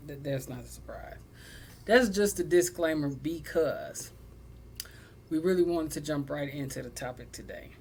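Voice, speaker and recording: A young adult woman hosting a casual sibling podcast, blunt and animated, cussing freely and laughing often. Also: low-pitched, moderate pace, a little hiss or hum. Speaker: podcast host.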